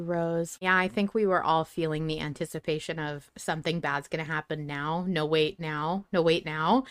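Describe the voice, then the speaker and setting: A woman's voice: lowish voice, no room sound, studio quality. A woman hosting a casual fan podcast, chatting with joking, mock-stern banter. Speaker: podcast host.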